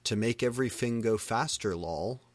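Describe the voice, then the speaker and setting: A man's voice: medium pitch, moderate pace, no echo, natural voice. A man voicing a melodramatic, foul-mouthed teenage goth girl in an exclamatory, overdramatic way for a comic story reading. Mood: gushing.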